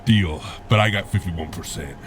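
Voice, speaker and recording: A man's voice: sits low, moderate pace, soft background music, lightly acted. A man playing a greedy, boastful propane tycoon creature with a swaggering, haggling manner in an improvised audio drama. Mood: smug, greedy.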